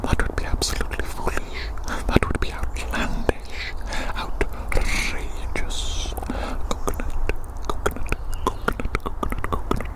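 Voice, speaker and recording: Playful whispered ASMR roleplayer. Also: a little slow. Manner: playful, reassuring, repeats phrases. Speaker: ASMR roleplayer playing an examiner. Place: outdoors in a forest.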